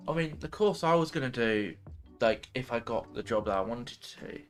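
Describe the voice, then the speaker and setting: A man's voice: medium pitch, animated, moderate pace, soft background music. A man co-hosting a livestream, chatting casually in hesitant, loose phrases. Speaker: livestream co-host. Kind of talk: casual chat.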